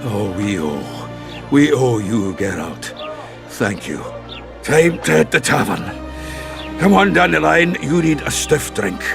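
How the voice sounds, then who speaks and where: Gravelly dwarf, hearty, bawdy, swinging intonation, earthy bluster, video game. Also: male voice, mid-range voice, lively intonation, takes it slowly, over music. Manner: blustery, earthy, colourful speech. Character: gruff, hearty, bawdy male dwarf drinking companion.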